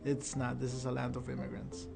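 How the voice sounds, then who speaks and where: A man in his twenties, giving a measured, reflective testimony in a documentary interview. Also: medium pitch, completely flat delivery, vocal fry. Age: 20s. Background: music.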